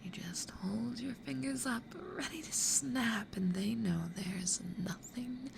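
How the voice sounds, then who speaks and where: A woman playing a playful, mischievous demon in a hushed, teasing, creaky ASMR roleplay whisper. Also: fairly high pitch, slow, heavily acted. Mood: gleeful. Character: playful, mischievous demon who toys with humans. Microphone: close studio condenser or binaural mic. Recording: clear background noise.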